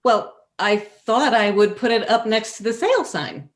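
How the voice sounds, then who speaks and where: A woman playing a cheerful, gently defiant old lady in a staged play reading over a video call. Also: fairly high pitch. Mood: cheerfully stubborn. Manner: unhurried, expressive, gently chuckling.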